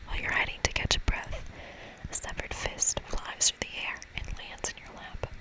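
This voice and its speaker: A woman whispering breathily in an ASMR style as she hosts a game playthrough, reading aloud and commenting softly. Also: moderate pace, rough recording. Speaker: ASMR gaming host.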